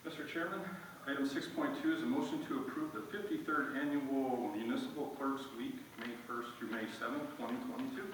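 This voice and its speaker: A man's voice: medium pitch, brisk. A man making formal announcements as mayor at a council meeting. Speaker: mayor presenting motions at council. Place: indoor council meeting room. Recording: very echoey room, distant mic, clear noise.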